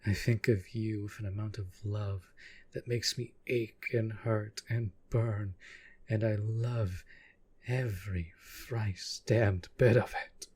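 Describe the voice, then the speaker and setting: Man acting a deep, husky possessive demon lover. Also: fairly even intonation, a little mellow. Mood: ardent, adoring. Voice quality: husky, breathy. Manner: slow, formal, deliberate, intense. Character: possessive jealous male demon, proud and devoted lover. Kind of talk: intimate scripted audio roleplay monologue.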